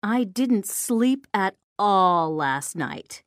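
A woman's voice: mid-range voice, lively intonation, extremely slow, no room sound, studio quality. A woman voicing a grumpy, demanding bedridden mother in a storybook, querulous and hard to please. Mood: peevish. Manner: querulous, complaining, drawn out. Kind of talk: storybook character dialogue.

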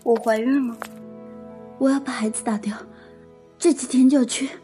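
A woman's voice: sits high, fairly even intonation, normal speed, mellow, faint music behind, natural voice. A young woman playing an anguished, self-sacrificing older sister in an audio drama. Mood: troubled. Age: young adult. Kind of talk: Chinese audio drama acting.

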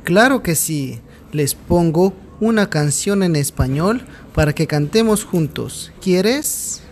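A man teaching Spanish, speaking slowly and clearly and repeating phrases for learners. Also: on the high side, expressive, slight background noise. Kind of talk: slow, clear language-lesson speech.

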